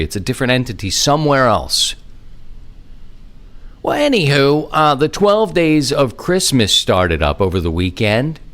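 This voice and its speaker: A middle-aged man hosting a hobby podcast alone, talking in a casual, rambling, conversational way with animated asides. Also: medium pitch. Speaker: amateur radio podcast host. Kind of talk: casual solo podcast monologue.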